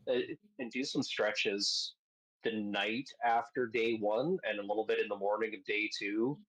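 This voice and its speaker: A man's voice: fairly low pitch, fairly even intonation, a little slow, a bit bright, breathy edge, low-quality recording. A man in casual, informal, sweary conversation as a podcast panelist. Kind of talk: casual chat.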